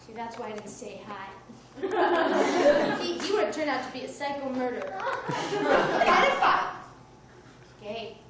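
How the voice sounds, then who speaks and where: A woman's voice: high voice, very animated, measured pace, long pauses. A woman who grew up in Mexico performing a wry, self-mocking comedy monologue on stage in her one-woman show. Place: theater stage, live audience. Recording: distant echoey live stage recording with noise.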